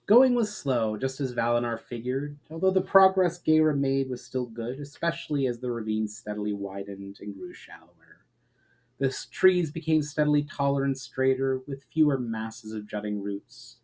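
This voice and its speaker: A man reading a fantasy story aloud as a narrator, in a measured, expressive storyteller's manner. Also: mid-range voice. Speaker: author reading fiction aloud.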